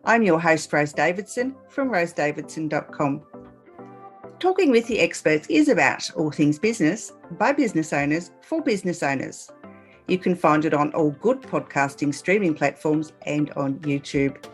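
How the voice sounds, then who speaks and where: A woman's voice: low-pitched, animated, moderate pace, faint music behind. Upbeat woman podcast host. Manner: upbeat, polished presenting. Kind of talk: scripted podcast intro and interview.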